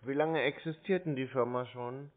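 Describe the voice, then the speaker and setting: A man's voice: medium pitch, moderate pace. A man in a confrontational phone conversation, blunt and insistent, repeating himself for emphasis. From Germany. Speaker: scam baiter confronting a phone scammer. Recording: narrow tinny phone-like sound.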